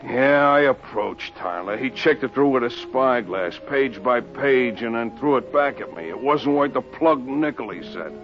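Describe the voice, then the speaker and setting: An actor playing a cocky, slangy small-time American hoodlum in a 1950s radio crime drama. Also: male voice, medium pitch, animated, moderate pace, few pauses, close to an everyday voice. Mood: defensive, wheedling. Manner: slangy, cocky wise guy. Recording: dull old radio recording with music.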